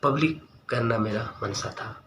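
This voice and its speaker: Man, vlogger, earnest monologue, medium pitch, emphatic delivery, repeated key words, faint background noise. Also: moderate pace, a bit bright. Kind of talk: earnest opinion monologue to viewers.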